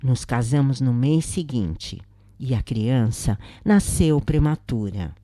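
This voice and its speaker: A woman's voice: rumbling low, lively intonation, takes it slowly, dark tone, no echo, studio quality. A woman with a Brazilian accent reading a scripted first-person spiritual account as a narrator.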